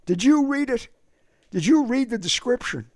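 Guy doing a young dude all worked up and pleading, voice pushed way up high and swooping. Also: animated, moderate pace, darkish tone, no room sound. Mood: urgent. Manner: urgent, pleading. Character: young man, anguished and pleading.

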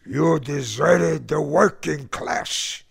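A man plays an indignant revolutionary uncle who scolds and berates, his pitch slightly raised. The voice has a gravelly rasp and a lively, rising and falling delivery. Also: measured pace, full character voice. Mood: scolding.

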